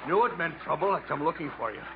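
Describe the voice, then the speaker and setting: Dull vintage radio recording with heavy noise, lonely trader, medium pitch, clipped, oily, leering, falsely hospitable. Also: male voice, expressive, fast, vocal fry. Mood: brisk, hospitable. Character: lonely, leering jungle trading-post keeper, outwardly hospitable. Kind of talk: 1950s American radio drama acting. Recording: muffled, noisy 1950s radio broadcast.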